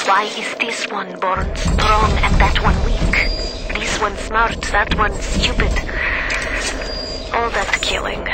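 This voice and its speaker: Lady acting cold bitter game scientist, clipped, medium pitch, loud music. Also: close to an everyday voice. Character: cold, scornful female geneticist, former camp prisoner. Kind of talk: audio diary monologue.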